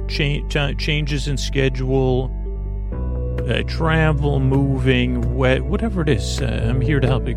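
Creaky-voiced, meandering man. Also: medium pitch. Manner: meandering, halting, stammering, trails off and restarts sentences. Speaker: host of a bedtime-story podcast to help listeners sleep. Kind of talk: rambling, improvised sleep-podcast monologue.

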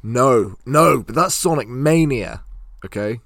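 Animated young man, enthusiastic chatter. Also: mid-range voice, takes it slowly, gravelly, no room sound, studio quality. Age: 20s. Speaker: podcast co-host. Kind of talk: casual chat.

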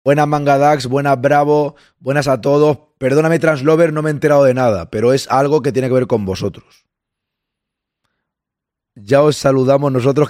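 A man with a Spain Spanish accent hosting a casual livestream, a bit hoarse, chatting with viewers and greeting them by name. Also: mid-range voice, darkish tone, dry recording, clean studio sound. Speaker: livestream host. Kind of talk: casual live chat with viewers.

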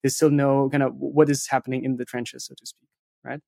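A male interview guest with a medium-pitched voice in a dry recording. He explains step by step in a conversational way and often ends a point with a brief checking question. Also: little pitch movement, brisk, vocal fry, warm tone, no room sound. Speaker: interview guest, content marketing firm founder.

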